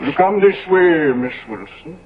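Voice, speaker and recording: An actor in a 1970s radio drama playing a formal, deferential manservant, composed and clipped. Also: male voice, a little high, expressive, measured pace. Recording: tinny, noisy vintage radio recording.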